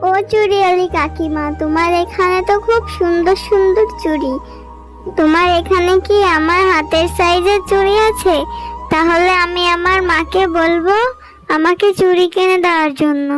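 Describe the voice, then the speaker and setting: A very high, childlike little fox girl from a kids' cartoon. She is eager and pleading, a sweet small daughter, with music playing clearly behind her. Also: fairly even intonation, normal speed. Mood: eager, curious.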